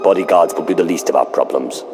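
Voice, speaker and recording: A man playing an arrogant, menacing Sith dark lord, imperious and confident, in a video game. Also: low voice, fast, music in the background, lightly acted. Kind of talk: video game cutscene acting.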